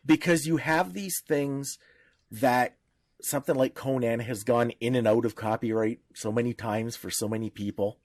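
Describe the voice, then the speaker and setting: A man's voice: mid-range voice, steady tone, normal speed, dry recording. A man with a mid-range voice, recorded very dry, discusses things casually on a podcast. He explains point by point, often rephrasing his thoughts midway. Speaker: podcast co-host.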